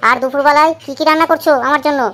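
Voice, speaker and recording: A woman playing a bossy working wife who gives brisk orders. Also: sits high, fairly even intonation, fairly bright tone. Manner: brisk, commanding. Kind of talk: comedy sketch acting.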